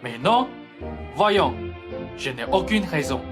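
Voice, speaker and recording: A woman's voice: sits low, sing-song, takes it slowly, music in the background, heavily acted. A young woman playing an earnest, stubborn young male thief in a French radio farce, blunt and protesting. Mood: stubborn refusal. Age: young adult. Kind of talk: French stage farce performed as student radio play.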